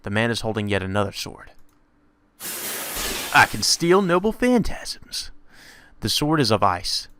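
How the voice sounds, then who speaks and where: A man's voice: fairly low pitch, fairly bright tone, no room sound. A man narrating a visual novel aloud in a dramatic read-aloud style, as a let's play host. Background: music.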